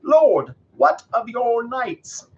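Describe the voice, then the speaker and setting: A man's voice: high voice, huge pitch swings, unhurried, muffled recording, pitched above the performer's own voice, exaggerated voice. A man voicing storybook villagers in an exclamatory, theatrical manner. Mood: pleading. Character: gossiping villagers, pleased then anxiously pleading.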